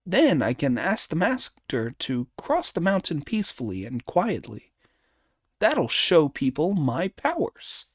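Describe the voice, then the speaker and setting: A man performs an excitable, boastful monkey hero from a storybook. The voice sits high and leaps about in pitch. It is cocky and exclamatory, recorded dry and close. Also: a little slow, dry recording, full character voice. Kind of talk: storybook character dialogue.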